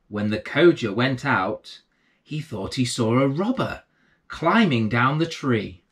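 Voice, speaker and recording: A man's voice: mid-range voice, fairly bright tone. A man in his thirties reading a bedtime storybook to young children. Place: small book-lined room indoors.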